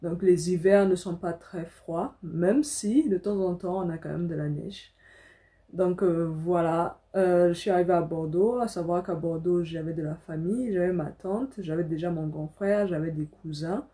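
A woman's voice: lowish voice, normal speed, warm tone. A young adult woman from Africa telling a personal story casually to camera as a vlogger, indoors in a small room.

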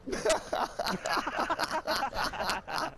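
A man's voice: extremely high pitch, animated, drawn-out words, creaky. A man bantering casually over an online game, boisterous, sweary and giggly, on a noisy voice chat. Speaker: video game player.

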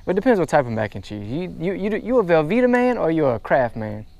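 A man's voice: a little high, big pitch swings, fast, vocal fry, darkish tone. A young man, joking and teasing while fishing from a small boat in a swamp, filmed by an action camera. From Louisiana. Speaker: angler filming fishing trip. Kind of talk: casual chat. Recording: outdoor camera audio with noise.

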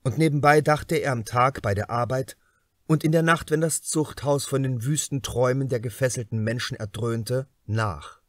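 A man's voice: medium pitch, moderate pace, no echo, clean studio sound. A man reading a crime novel aloud as a scripted audiobook narration.

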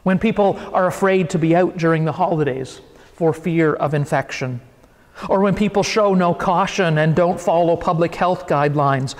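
A middle-aged man preaching a sermon from a pulpit in a church, earnest and measured, building his points through repetition. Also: on the high side, in a large hall. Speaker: pastor. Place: church sanctuary, speaking from a pulpit.

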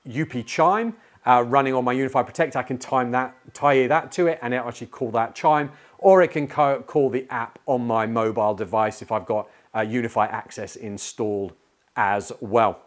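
A man's voice: medium pitch, normal speed. Middle-aged man giving an explanatory product demo. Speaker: tech product presenter. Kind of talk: informal product demonstration to camera. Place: small indoor studio room. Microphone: lapel mic.

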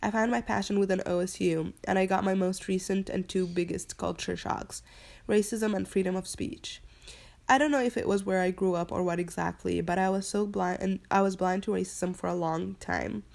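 A young woman from Palestine, a student, narrating her own immigration story in a quiet, reflective way. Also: medium pitch, fairly even intonation, moderate pace, gravelly. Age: young adult. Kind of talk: personal narration.

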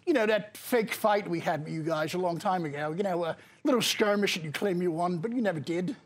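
A man's voice: sits high, a little fast. Man doing a bawdy old English nanny. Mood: indignant. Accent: put-on English. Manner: rambling, flirty, keeps saying Arthur. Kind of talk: improvised comedy bit.

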